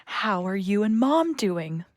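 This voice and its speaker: A woman's voice: medium pitch, sing-song, slow pace, no echo, clean studio sound. A young woman playing a world-weary, easily exasperated daughter in an audio play, blunt and sardonic. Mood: hurt, upset. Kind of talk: naturalistic audio play acting.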